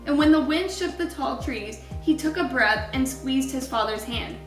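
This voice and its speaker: A woman reading a children's story aloud in an expressive storytelling style, with deliberate, emphatic wording. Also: high voice, echoey room, recorded from a distance, faint music behind.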